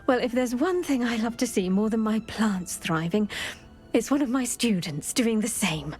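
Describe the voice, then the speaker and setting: A woman's voice: fairly high pitch, lively intonation, moderate pace, a little mellow, faint music behind, close to an everyday voice. A woman voicing a cheerful, plant-loving professor who teaches with bubbly enthusiasm. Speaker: herbology professor.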